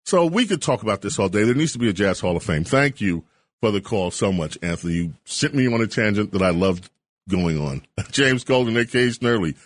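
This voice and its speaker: A man's voice: fairly low pitch, animated, brisk, dry recording, clean studio sound. A man hosting a call-in talk radio show, talking eagerly and wandering off on tangents. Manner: enthusiastic, rambling tangents. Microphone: studio microphone.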